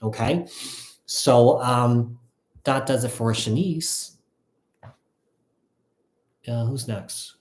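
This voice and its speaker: A man giving a casual live tarot reading, thinking aloud in a halting, repetitive way. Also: mid-range voice, darkish tone. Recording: echoey, roomy sound.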